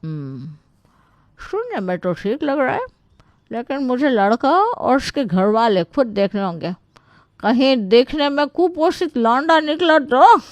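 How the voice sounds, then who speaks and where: A woman's voice: high-pitched, very animated, a little slow, no room sound, pitched above the performer's own voice. A woman voicing a shameless, bossy aunt in a Hindi comic story, with a sarcastic, theatrical manner. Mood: shrewd. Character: brash, shameless, gutka-chewing Indian aunt, bossy and theatrical.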